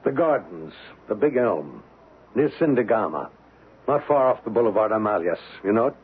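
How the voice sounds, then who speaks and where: An actor playing a cocky American blackmailer in a radio drama, brash, terse and calculating. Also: male voice, on the low side, big pitch swings, normal speed, a little hiss or hum. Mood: businesslike, demanding. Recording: muffled phone-like old radio recording.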